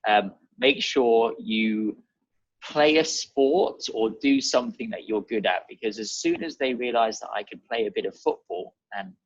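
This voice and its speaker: A man's voice: mid-range voice, unhurried, dry recording. A young British man chatting casually as a podcast guest over a video call. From England and Germany. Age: young adult. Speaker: podcast guest, real estate advisor. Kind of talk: casual conversational interview. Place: bright room at home, indoors. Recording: dull, muffled video-call audio.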